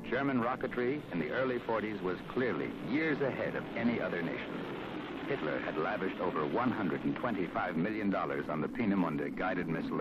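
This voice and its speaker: A man reading scripted documentary narration in a measured, formal, dramatic way with weighty pauses, on an archival film soundtrack. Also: low voice, dull audio. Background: prominent background music.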